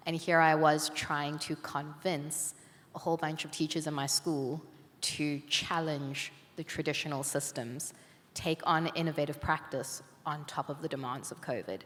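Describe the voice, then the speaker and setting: A woman teacher speaking on a panel, telling a personal story in a reflective, measured way. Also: low voice, steady tone, fairly bright tone. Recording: long reverb of a conference hall.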